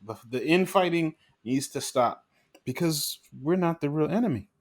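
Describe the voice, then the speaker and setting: A man's voice: fairly high pitch, lively intonation, moderate pace. A man co-hosting a podcast, talking earnestly in a casual discussion, often restarting and adding "you know". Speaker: podcast co-host.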